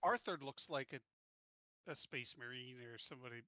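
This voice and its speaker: A man's voice: mid-range voice, moderate pace, sharp tone, no echo, dull audio. A man playing a tabletop game, chatting casually in a blunt, joking way with some swearing. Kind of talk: casual banter.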